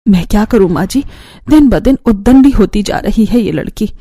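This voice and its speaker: Woman voicing an exasperated mother, mellow tone. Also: medium pitch, lively intonation, normal speed, dry recording, clean studio sound, close to an everyday voice. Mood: exasperated, stern. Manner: reproachful, scolding. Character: weary, stern mother, exasperated with her daughter. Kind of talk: Hindi family cartoon voice acting.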